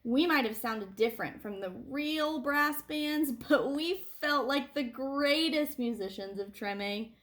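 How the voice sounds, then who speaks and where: A woman reading a picture book aloud to children like a teacher, with animated phrasing and deliberate emphasis. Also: sits high. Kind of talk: expressive read-aloud storytelling for children.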